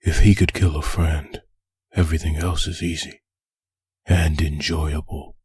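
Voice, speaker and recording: A man playing a brooding undead antihero full of hatred in a comic dub, with a gravelly rasp and a grim monologue delivery. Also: extremely low pitch, flat delivery, darkish tone, heavily acted. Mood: vengeful. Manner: grim, slow internal monologue. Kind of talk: comic dub dramatic narration.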